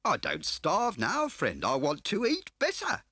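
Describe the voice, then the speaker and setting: A fat, shifty car dealer for an audiobook. The voice is pitched well up from the reader's own and swoops about, with boisterous, wheedling, mock-grand phrasing and a bright, forceful edge. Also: male voice, huge pitch swings, a little slow, slightly rough, no room sound, exaggerated voice. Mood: sly, florid. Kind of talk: audiobook character voice.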